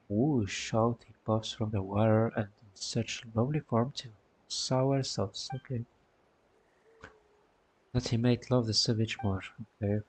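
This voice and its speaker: A man's voice: medium pitch, slight background noise, poor audio. Man muttering through casual game commentary. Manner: muttering to himself, repeating phrases, puzzled asides. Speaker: game streamer. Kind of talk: casual gameplay commentary, reading quest text aloud. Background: game music.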